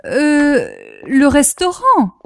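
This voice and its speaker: High-pitched, gushing comedy lady. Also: very animated, very slow, heavily acted. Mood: eager. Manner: theatrical, flowery, exaggerated. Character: gushing, coquettish, earnest lady visitor. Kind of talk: 1940s-style radio comedy acting.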